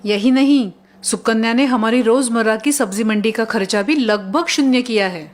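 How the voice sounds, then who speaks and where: A woman's voice: on the high side, normal speed, a little hiss or hum, lightly acted. A woman voicing a kind, motherly middle-aged mother-in-law who soothes and reasons in a cartoon story. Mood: explaining, proud. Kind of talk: Hindi cartoon story acting.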